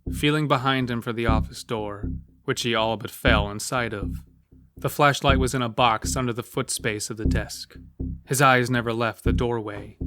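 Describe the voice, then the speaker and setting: Male narrator for audio horror anthology, medium pitch, scripted prose delivery over subtle music. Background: soft music bed.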